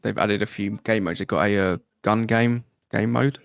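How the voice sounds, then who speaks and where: A man's voice: on the low side, steady tone, moderate pace, gravelly, dry recording, clean studio sound. A man co-hosting a live gaming stream in casual conversation.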